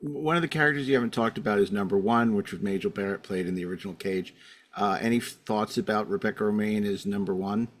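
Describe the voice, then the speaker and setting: A man hosts a panel podcast in a conversational register. He talks quickly as he sets up questions and hands them to his guests. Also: fairly low pitch. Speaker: podcast host. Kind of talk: conversational interviewing, panel discussion.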